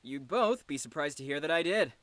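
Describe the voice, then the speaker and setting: A woman's voice: low voice, lively intonation, fairly quick, a bit bright, no room sound. A woman playing a cheeky, free-spirited young male student in an audio drama, teasing and flamboyant. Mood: nonchalant.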